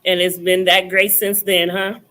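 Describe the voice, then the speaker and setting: A woman's voice: fairly low pitch, little pitch movement, normal speed, bright, forceful tone. A woman hosting a conversational interview, putting questions to a guest. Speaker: interviewer, host.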